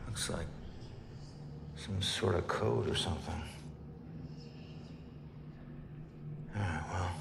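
An actor playing a gruff, brooding middle-aged ghost detective in a video game, terse and world-weary, with a gravelly voice. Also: male voice, deep voice, background noise. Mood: musing. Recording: music under the voice.

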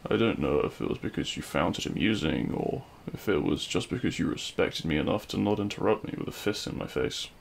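A man playing a regretful, lovelorn supervillain in an audio roleplay, speaking in a hushed, halting, wistful way. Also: deep voice, lively intonation, gravelly, a little hiss or hum. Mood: regretful, empty. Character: regretful lovelorn male supervillain addressing his fallen hero. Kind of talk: scripted ASMR audio roleplay monologue.

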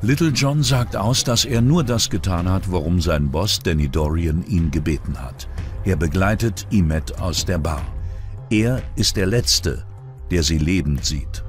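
A man's voice: low voice, animated, a little slow, soft background music. A man reads scripted true-crime documentary narration.

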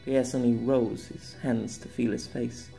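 A man reads his own story aloud as an audiobook, with a steady, measured delivery. His voice sits in a middle range, and faint music plays beneath the narration. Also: mid-range voice, gravelly. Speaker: author and narrator.

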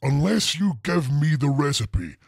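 A man's voice: on the high side, sing-song, a little slow, gravelly, noisy background. A man playing a menacing prank caller in a comic phone call sketch.

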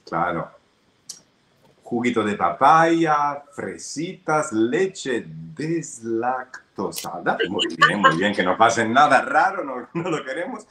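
A man's voice: medium pitch, big pitch swings, slow pace, bright, forceful tone, roomy sound, a little hiss or hum. A man with an Italian accent hosting a live craft shopping stream, chatting and reading viewer comments aloud. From Italy. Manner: chatty, switches between English and Spanish. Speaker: live shopping show host.

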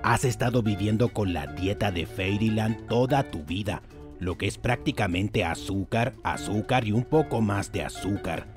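A man's voice: fairly low pitch, normal speed, over music, lightly acted. A man voicing a small sarcastic fly sidekick with a dry, sardonic delivery.

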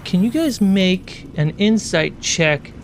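Higher-voiced man narrating as game master. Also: lively intonation, slow, a little hiss or hum. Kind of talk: narrating a tabletop fantasy game.

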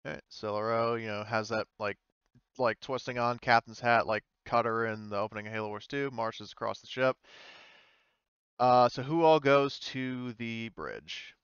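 A man casually narrating an online tabletop roleplaying game as game master, in a halting, informal way. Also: medium pitch, fairly even intonation, a bit bright, clear, resonant tone, dry recording, highs cut off.

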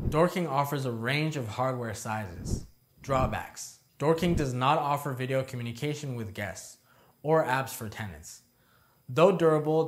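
Young man presenting in a scripted style. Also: medium pitch. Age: young adult. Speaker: presenter of product review videos. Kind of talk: scripted narration. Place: indoor studio with plain backdrop.

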